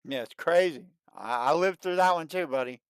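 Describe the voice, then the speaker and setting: A man in his late thirties hosting a livestream, chatting casually and excitedly, and often repeating himself. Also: a little high, moderate pace, clean tone, dry recording, studio quality. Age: 30s. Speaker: livestream host. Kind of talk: casual chat.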